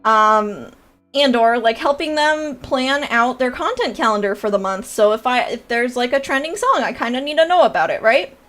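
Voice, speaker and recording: A woman's voice: a little high, lively intonation, normal speed, a bit bright, faint music behind. A young woman with a nasal voice, chatting casually and rambling on a livestream, with lots of fillers and laughs. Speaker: VTuber streamer and creator coach.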